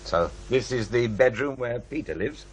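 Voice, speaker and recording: An actor playing a dry, skeptical, busy paranormal researcher in naturalistic radio drama dialogue. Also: male voice, mid-range voice, animated, moderate pace, slight background noise, natural voice. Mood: patient, probing. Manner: dry, questioning, unimpressed.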